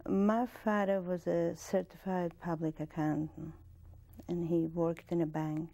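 An older woman with a Polish accent, in her sixties, talks in a low, warm voice with a gravelly edge. She tells her story in a measured, plain way, with restarts, and the lapel mic in the studio picks her up close. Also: level tone. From Poland. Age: 60s. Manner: measured, plain-spoken, with soft laughs and restarts. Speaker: interviewee, Holocaust survivor. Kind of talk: oral history interview. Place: studio with dark curtain backdrop.

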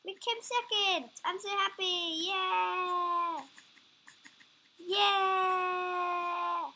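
A child gamer, sniffly from a runny nose, giving excitable casual commentary while playing. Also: female voice, very high-pitched, extremely slow. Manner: excitable, squeals and groans at the game. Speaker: gameplay commentator. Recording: loud background noise.

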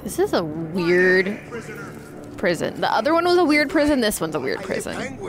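A woman's voice: mid-range voice, sing-song, moderate pace, noisy background. A woman giving casual, musing commentary as a reaction host.

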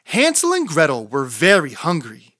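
A woman reading a children's storybook aloud in an expressive narrating style. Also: sits low, normal speed, no echo, clean studio sound.

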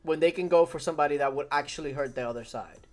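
A man's voice: fairly high pitch, quick pace, faint music behind. A young man with a Spanish accent, his first language Spanish, chatting casually with viewers during a live game stream and often repeating phrases. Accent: Spanish-speaking. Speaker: gaming streamer. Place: home room at a desk.

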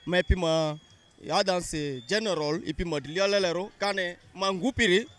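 Young man, fairly high voice. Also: normal speed, fairly bright tone. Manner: earnest, explanatory, mostly in a local language. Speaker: interviewee. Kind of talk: street interview answers. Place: busy town street outdoors. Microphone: interviewer's handheld mic.

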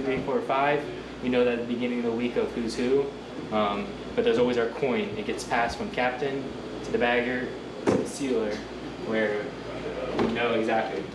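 A man working in a fish cleaning room, calmly explaining each step of the process. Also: mid-range voice, reverberant, recorded from a distance, heavy background noise. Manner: matter-of-fact, step-by-step explaining. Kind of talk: casual walkthrough.

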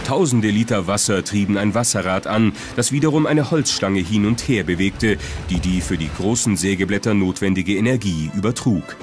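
A man's voice: fairly low pitch, normal speed, hardly any pauses. A man reading scripted documentary narration. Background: music.

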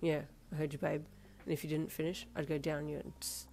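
Woman as a laid-back, low-key teasing young boyfriend. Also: little pitch movement, a little fast, creaky, a little mellow. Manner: nonchalant, dry, teasing. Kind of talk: acted audio story dialogue.

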